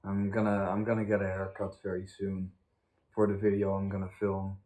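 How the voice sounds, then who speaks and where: A man chatting casually as a vlog host, telling stories in a halting, stammering, joking way. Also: sits low, monotone, dark tone. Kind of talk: casual rambling storytime chat. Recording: noisy amateur vlog recording.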